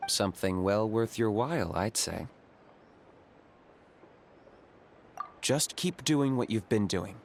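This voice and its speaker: Low-voiced, deadpan young monster hunter. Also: male voice, slight background noise. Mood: businesslike. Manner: deadpan, measured, matter-of-fact. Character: cool, pragmatic young male monster hunter, detached. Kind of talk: English video game voice acting. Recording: game music behind the voice.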